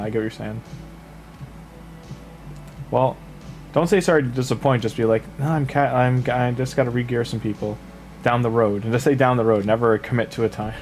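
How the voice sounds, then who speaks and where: A man streaming a game late at night, rambling casually to his chat with lots of fillers, sniffly from the tail end of a cold. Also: medium pitch. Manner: rambling, filler-heavy, relaxed advice to chat. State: sniffly, getting over a cold. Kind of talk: casual livestream chat with viewers.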